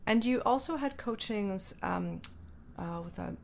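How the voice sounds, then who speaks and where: A woman's voice: medium pitch, expressive, a little slow, vocal fry, a little hiss or hum. A woman hosting a musician interview, asking long thoughtful questions with many small fillers. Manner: thoughtful, rambling questions with many "you know"s. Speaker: podcast host, violinist.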